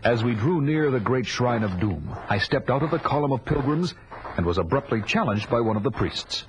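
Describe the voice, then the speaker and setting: An actor playing a vengeful barbarian warrior, narrating his tale in a measured, solemn, formal way. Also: mid-range voice, animated, background noise, natural voice. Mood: grim resolve. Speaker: narrator and lead character. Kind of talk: dramatic scripted first-person narration. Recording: loud music bed behind the voice.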